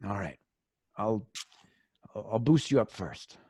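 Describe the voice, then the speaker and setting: An actor playing a nervous, grumbling accomplice in an old-time radio drama, stammering and complaining. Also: male voice, lowish voice, expressive, measured pace, darkish tone, breathy edge, no room sound, clean studio sound. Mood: hushed grumbling.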